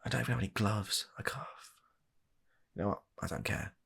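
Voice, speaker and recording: British male, husky and low, for comic ASMR doctor roleplay; hesitant, deadpan, fed up. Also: fairly quick. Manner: exasperated, hesitant, awkwardly deadpan. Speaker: comedy ASMR performer playing a doctor. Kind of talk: comedic medical roleplay ASMR.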